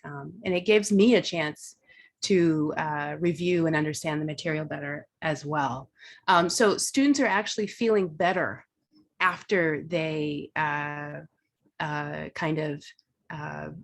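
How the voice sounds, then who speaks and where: A woman instructor presenting at an online teaching workshop, talking casually and thinking aloud, with fillers and restarts. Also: sits low.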